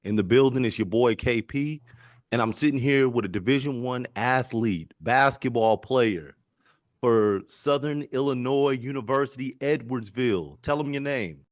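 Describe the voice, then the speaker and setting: A young man hosting a casual podcast interview, laid-back and friendly, who keeps the guest going with quick affirmations. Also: mid-range voice, dry recording, studio quality.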